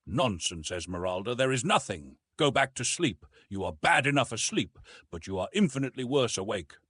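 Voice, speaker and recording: A man's voice: mid-range voice, very animated, normal speed, a bit bright, slightly rough, no echo. A man voicing an emotional, proud and easily wounded young woman in an audiobook, with an impassioned, haughty manner. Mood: irritable.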